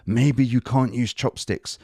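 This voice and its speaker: A man's voice: medium pitch, steady tone, takes it slowly, gravelly, mellow, no room sound, clean studio sound. A man hosting a language-learning podcast, chatting casually and enthusiastically while teaching phrases. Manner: chatty, enthusiastic, switches between English and Japanese phrases. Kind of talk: casual conversational lesson.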